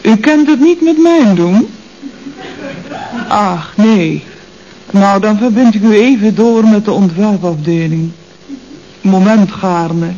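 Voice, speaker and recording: Actress as office receptionist, Netherlands accent, mannered over-polite delivery, medium female pitch, coy, dull radio recording. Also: slow, pauses often, dark tone, close to an everyday voice. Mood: affected, polite. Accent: Netherlands Dutch. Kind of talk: radio comedy acting. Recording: dull old radio recording, faint noise.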